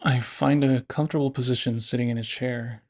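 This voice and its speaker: A man narrating a guided body scan meditation in a gentle, unhurried, instructive manner. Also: medium pitch, steady tone.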